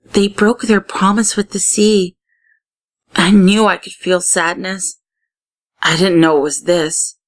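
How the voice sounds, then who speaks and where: A woman's voice: medium pitch, level tone, takes it slowly, close to an everyday voice. A woman voicing a confident, instructive young sea child guide in a storybook read-aloud. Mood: sad.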